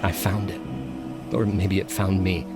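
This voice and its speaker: An actor playing a fearful, solitary male professor who narrates an audio drama in a grave, hushed, deliberate way. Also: low voice, level tone, over loud music. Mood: haunted, afraid. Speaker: narrator and lead character.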